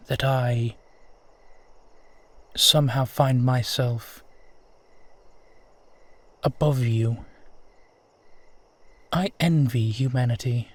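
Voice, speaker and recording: A courtly immortal vampire, played by a man, speaks very slowly in a mid-pitched voice. He is gentle and wistful, with formal, tender phrasing made for an intimate audio roleplay. The sound is close and dry. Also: no room sound. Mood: earnest, reflective. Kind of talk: intimate scripted roleplay monologue addressed to listener.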